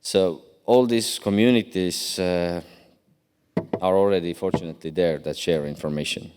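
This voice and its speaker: A man from Estonia answering on a conference panel, speaking haltingly with many fillers and restarts. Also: low voice, a little mellow, clean tone. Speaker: deputy cyber commander on a panel. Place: large conference hall. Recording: long hall reverb.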